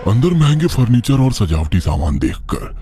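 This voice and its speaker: A man's voice: mid-range voice, big pitch swings, moderate pace. A man narrating a scripted horror story in a dramatic, suspenseful way. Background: background music.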